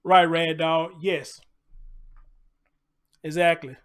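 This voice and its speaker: A man's voice: fairly high pitch, fairly even intonation, drawn-out words, clean tone. An American man hosting a casual live commentary show, talking conversationally with preacher-like asides, repeated phrases and rhetorical questions.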